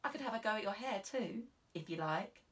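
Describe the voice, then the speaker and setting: A woman's voice: medium pitch, animated, a little fast, recorded from a distance, pitched above the performer's own voice, lightly acted. A woman voicing a chirpy, eager, chatty young female police constable in an audiobook, with a breezy, informal, encouraging manner. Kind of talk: audiobook character voice.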